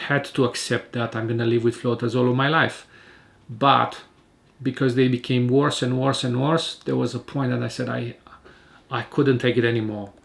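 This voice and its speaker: A man speaking calmly and hesitantly about his recovery from eye surgery, with many fillers and restarts. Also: medium pitch, creaky. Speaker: patient sharing his surgery experience. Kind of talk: casual spoken vlog. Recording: home video recording.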